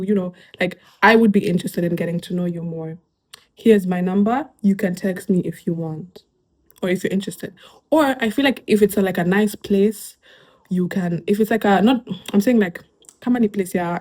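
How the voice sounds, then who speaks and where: A woman's voice: medium pitch, a little mellow. Chatty young Kenyan woman. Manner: chatty, switches into Swahili phrases, lots of fillers. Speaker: YouTuber reacting to online posts. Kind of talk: casual reaction commentary.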